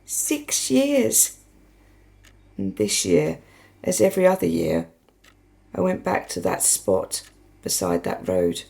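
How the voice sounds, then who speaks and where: An actress in a radio play voicing an embittered, grieving widow who speaks with accusing, pointed questions. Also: female voice, very deep voice, huge pitch swings, slow, vocal fry, pauses often, warm tone, exaggerated voice. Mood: haunted, brooding. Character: embittered grieving widow confronting her husband's killer.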